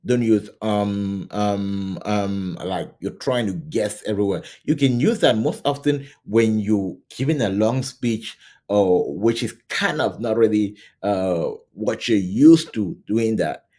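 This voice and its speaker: Middle-aged male coach from Cameroon, low warm voice, loose chatty teaching style. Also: slow pace. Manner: conversational, repeats "right?" and restarts often. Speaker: scrum coach. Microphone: camera mic, facing camera.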